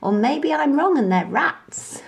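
An excitable young storybook monkey, a boy who is easily startled, acted out by a woman reader. The voice jumps high and swings widely, with exclaiming, deliberate phrases. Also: creaky, exaggerated voice. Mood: alarmed wonder.

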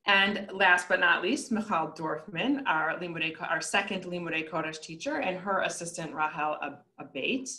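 Bright-voiced woman introducing staff. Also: lowish voice. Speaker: school principal. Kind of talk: introducing staff on a video call. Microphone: video call.

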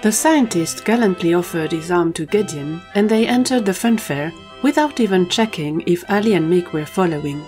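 Woman narrator reading an adventure story from a script, voice a little on the low side. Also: expressive, normal speed, hardly any pauses, a little mellow, faint music behind.

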